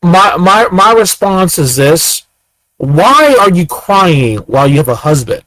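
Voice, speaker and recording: A man's voice: high-pitched, sing-song, unhurried, a bit bright, poor audio. A man in his thirties giving ranting commentary, firing off repeated rhetorical questions. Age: 30s. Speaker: commentator reacting to clips.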